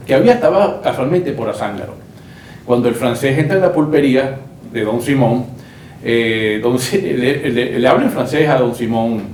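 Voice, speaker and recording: A man talks through history at an unhurried pace, stumbling over and repeating small words. His voice sounds roomy and a little far from the mic, with a faint hiss in the background. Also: medium pitch, darkish tone. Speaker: guest, lawyer and writer. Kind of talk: conversational storytelling.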